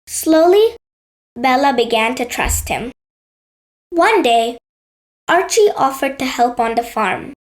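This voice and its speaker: A woman's voice: sits high, expressive, slow, pauses often. A woman narrating a scripted bedtime story for children as a storyteller.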